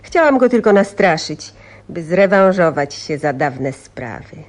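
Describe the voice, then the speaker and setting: An actress playing a clever, proud woman who voices a letter in a radio play, composed and measured. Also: on the low side, big pitch swings. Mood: firm, proud. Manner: measured, self-possessed. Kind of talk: Polish radio play acting, letter voice-over.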